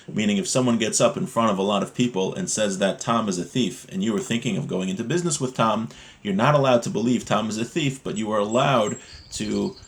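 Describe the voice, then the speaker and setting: A man giving an informal religious lesson, explaining a text in a conversational way with rhetorical check-ins as a teacher. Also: lowish voice.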